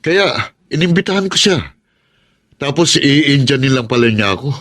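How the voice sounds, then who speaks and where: An animated, expressive husband. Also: male voice, medium pitch, normal speed. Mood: indignant. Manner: expressive, conversational. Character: affectionate, easily irritated broadcaster husband. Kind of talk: naturalistic radio drama acting.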